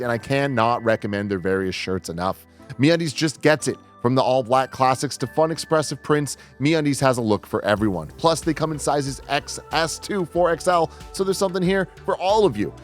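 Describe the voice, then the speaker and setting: A man who hosts a podcast, delivering a scripted sponsor read. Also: medium pitch. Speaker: podcast host reading sponsor ads. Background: background music.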